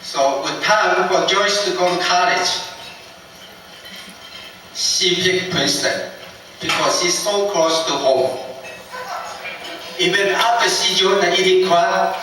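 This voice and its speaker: An older man with a heavy Taiwanese accent, a native Taiwanese speaker, gives a slow, halting father-of-the-bride wedding toast full of self-deprecating humor. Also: sits high, sharp tone, soft background music. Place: large banquet hall. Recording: echoey banquet hall from far off with faint background noise.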